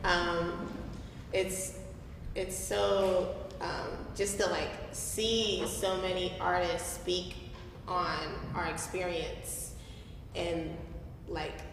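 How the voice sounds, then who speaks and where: Young woman, twenties, lowish voice, unhurried and halting panel answer, very echoey noisy hall. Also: level tone, creaky. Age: 20s. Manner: hesitant, halting delivery. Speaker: playwright and youth ensemble member. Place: reverberant room at a live staged reading. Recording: echoey hall, noticeable background noise.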